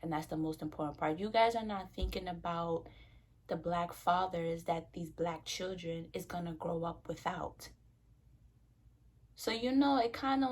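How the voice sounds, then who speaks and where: A young woman speaks straight to her viewers in a frank, heated, conversational way. Her voice is gravelly with a husky, breathy edge. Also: lowish voice. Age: 20s. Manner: frank and passionate, loose and conversational. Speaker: vlogger. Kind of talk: casual talk to camera.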